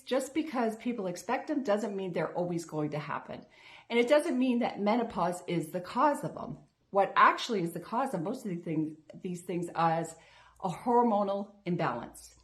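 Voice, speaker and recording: Casting: woman, fifties, vlog host, earnest and chatty, a touch low in pitch. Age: 50s. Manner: earnest, chatty, with restarts and repeated phrases. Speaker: health coach, vlog host. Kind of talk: casual talking-to-camera vlog.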